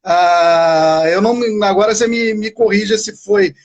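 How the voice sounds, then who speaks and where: A man's voice: high-pitched, unhurried, lo-fi sound. A man with a Brazilian accent hosting a casual interview, asking long, meandering questions in a hesitant way. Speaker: interviewer, live stream host.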